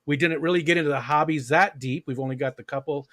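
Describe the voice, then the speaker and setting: A man's voice: on the high side, fast talker, fairly bright tone. A man hosting a casual livestream chat, rambling and friendly, with plenty of fillers. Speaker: livestream host.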